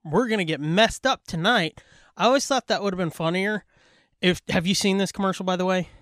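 A man's voice: high-pitched, a little fast, fairly bright tone, no room sound, studio quality. A man co-hosting a podcast in casual comic banter, crude, profane and joking. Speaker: podcast co-host.

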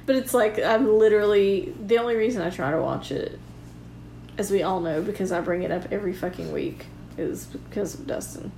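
A woman's voice: mid-range voice, fairly quick, creaky, mellow. A woman co-hosting a podcast, blunt and swearing freely, often repeating herself as she vents. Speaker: podcast co-host. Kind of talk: casual chat about wrestling. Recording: echoey room with faint background noise.

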